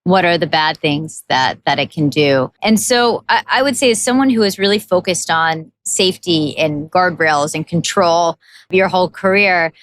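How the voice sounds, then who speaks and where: A woman's voice: lowish voice, normal speed, dry recording. A woman hosting a tech podcast, asking questions in a curious, engaged way. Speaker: podcast host. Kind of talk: podcast interview.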